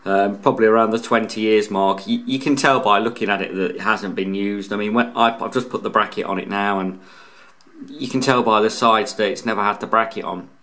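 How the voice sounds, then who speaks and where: Guy with a lowish voice doing a laid-back unscripted review, bit hesitant, explaining stuff. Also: steady tone, brisk, a bit bright. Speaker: radio hobbyist reviewer, channel host. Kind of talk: casual unscripted product review. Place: indoors, off camera beside a tabletop radio.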